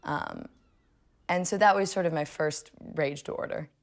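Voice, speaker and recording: A young adult woman speaking in a thoughtful, measured way, as when reading poetry or reflecting on it. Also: sits low, gravelly. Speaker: poetry reader and commentator. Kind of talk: poem recitation and personal reflection.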